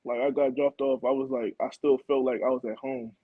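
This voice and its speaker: A young man from Florida talks about himself in a laid-back way, full of "like" and "man". His voice sits at a middle pitch. The recording is a bit dull and dry. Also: a little fast, husky, dry recording. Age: young adult. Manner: relaxed, laid-back, many fillers like "like" and "man". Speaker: college football player guest. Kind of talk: casual interview answers.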